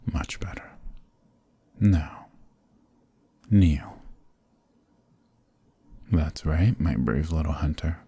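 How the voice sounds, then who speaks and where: A man playing a centuries-old, possessive and sadistic vampire lord in an intimate ASMR roleplay, husky and often whispering, with a commanding, condescendingly tender manner. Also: rumbling low, warm tone, dry recording, heavily acted. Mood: smug, possessive.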